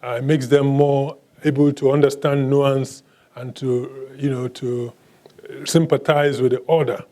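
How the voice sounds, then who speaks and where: Man, author, first language Igbo, mellow dark voice, halting, many fillers, dry self-deprecating humor. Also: mid-range voice, level tone. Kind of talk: audience Q&A at a book talk.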